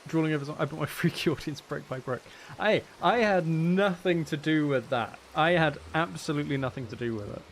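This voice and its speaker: A man's voice: a little high, brisk, hardly any pauses, a little hiss or hum. Rambling man on a casual gaming stream. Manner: mildly sweary. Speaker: game streamer and audio creator.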